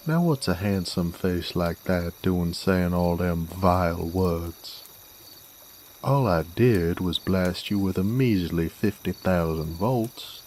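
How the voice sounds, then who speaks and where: Deep, slow-drawling Southern cowboy rogue, acted by a man. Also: darkish tone, a little hiss or hum. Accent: American Southern. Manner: drawling, folksy, teasing. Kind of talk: scripted roleplay audio drama.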